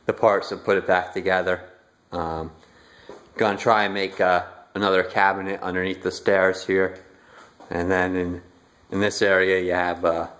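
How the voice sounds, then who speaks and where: A young man giving a casual walking tour of his house, relaxed and halting with lots of fillers, recorded on a handheld phone indoors. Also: sits low. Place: inside a house, walking room to room. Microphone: handheld phone camera, filming rooms.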